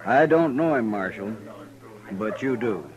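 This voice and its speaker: A man's voice: mid-range voice, animated, unhurried, dull audio, natural voice. An actor playing an anxious, deferential frontier townsman in a radio western, speaking hesitantly. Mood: uneasy. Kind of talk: 1950s radio western acting. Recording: old radio recording, crowd behind.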